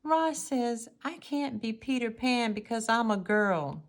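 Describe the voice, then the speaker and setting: A woman's voice: fairly high pitch, expressive, takes it slowly, pitched above the performer's own voice. Storybook child role, played by adult woman: young girl, eager and earnest. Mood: hurt.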